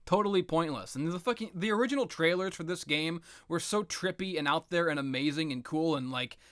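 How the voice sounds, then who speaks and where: A man's voice: fairly high pitch, a little fast, fairly bright tone, no room sound, clean studio sound. A man giving an unscripted, opinionated rant about video games in a blunt, exasperated, sweary manner. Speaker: video game commentator.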